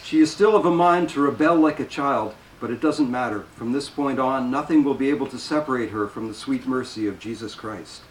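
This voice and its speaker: Man reading a stern old nun, steady and firm, echoey, bit of hiss. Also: medium pitch, lightly acted. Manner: measured, firm. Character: calm older prioress, woman, stern and devout. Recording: roomy, distant mic, faint noise.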